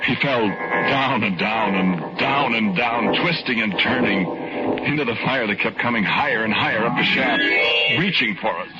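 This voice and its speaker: A man giving grave, deliberate first-person narration in an old radio drama style. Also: on the low side. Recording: dull old radio recording, loud music behind.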